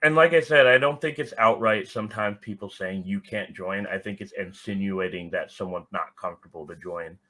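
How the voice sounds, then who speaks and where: A man's voice: lowish voice, moderate pace, hardly any pauses, pushed and bright. A man speaking as a podcast guest, giving casual, reflective explanations and checking that he is understood. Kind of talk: casual conversational interview answers.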